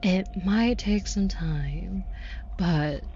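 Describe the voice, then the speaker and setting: A woman playing a flirty, menacing demon in an audio roleplay, condescending and teasing, with a breathy voice that dips into whispers. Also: on the low side, warm tone, muffled recording, slight background noise. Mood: smug, coaxing. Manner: teasing, condescending, slow deliberate delivery dropping into whispers. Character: flirty, menacing female demon taunting a caged angel.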